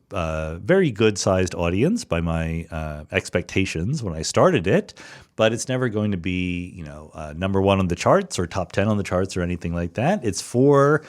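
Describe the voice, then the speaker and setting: A middle-aged man hosting a podcast, answering listener questions in a relaxed, thoughtful monologue with frequent fillers and restarts. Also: low voice. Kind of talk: casual solo monologue.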